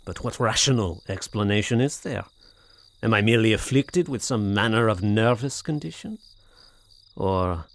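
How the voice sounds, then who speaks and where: An actor playing a tormented, anxious French gentleman in an audio horror drama, speaking formally with hesitant, brooding, theatrical delivery. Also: fairly low pitch, gravelly. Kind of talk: audio horror drama acting, period literary diction.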